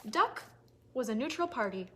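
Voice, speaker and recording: A woman with a fairly high voice reads aloud to small children as a storyteller. Her delivery is animated and sing-song, addressed straight to young listeners. There is noticeable noise in the background. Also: normal speed. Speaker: children's librarian leading story time. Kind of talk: animated read-aloud for toddlers.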